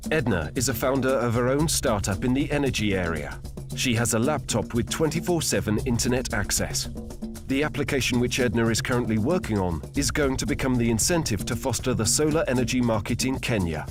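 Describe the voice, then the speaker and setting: A man reads documentary narration in a steady, explanatory way at a middle pitch. His studio voice-over sits over a clear music bed. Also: brisk. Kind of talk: scripted narration.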